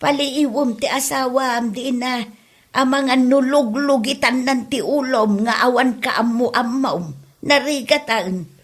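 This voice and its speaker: A woman's voice: high voice, unhurried. A woman playing a nosy gossiping villager in an Ilocano comedy drama, chatty and exclaiming, with an Ilocano accent. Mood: prying.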